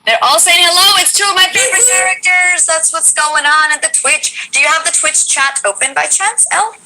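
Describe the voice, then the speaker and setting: A woman hosting a casual live stream, bubbly and excitable as she interviews her guest over a video call. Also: extremely high pitch, fairly quick, poor audio. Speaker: streamer host.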